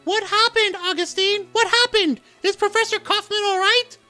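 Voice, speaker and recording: Princess Anastasia, a high young noblewoman. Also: fairly even intonation, normal speed, pushed and bright, soft background music. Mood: anxious. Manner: formal, emotional speech.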